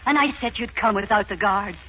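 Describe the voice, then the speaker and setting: An actress playing an earnest young Irish maid, urgent and entreating, in 1940s radio drama style. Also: female voice, medium pitch, a little fast, a bit bright, lightly acted. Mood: earnest, pressing. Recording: muffled, noisy 1940s radio recording.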